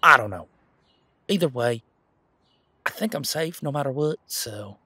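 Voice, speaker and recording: A man's voice: medium pitch, animated, measured pace, sharp tone, slightly rough, no echo, heavily acted. A man playing an easygoing, protective werewolf in an audio roleplay, talking in an informal, frank and chatty way. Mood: musing, self-deprecating. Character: easygoing, protective male werewolf, imposing but responsible. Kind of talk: casual conversational audio roleplay monologue.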